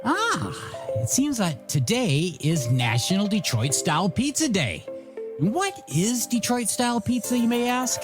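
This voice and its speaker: A radio DJ host delivers playful, joking patter in a showy style. The pitch moves around with lots of animation at a middle height. A music bed plays clearly behind the voice. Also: very animated, normal speed.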